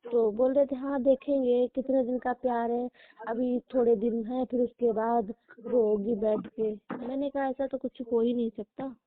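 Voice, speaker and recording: A woman's voice: on the high side, steady tone, normal speed, no room sound. A young woman confiding plaintively in a casual, intimate phone conversation. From rural Uttar Pradesh, India. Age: young adult. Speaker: girlfriend on a personal phone call. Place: phone call recording. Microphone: telephone. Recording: narrow tinny phone line, dry.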